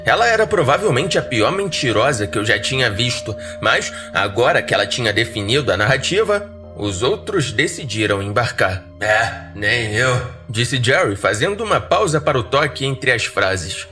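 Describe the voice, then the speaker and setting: Animated, bright-voiced Brazilian male horror narrator. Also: mid-range voice, normal speed, soft background music. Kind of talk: dramatic first-person scripted storytelling.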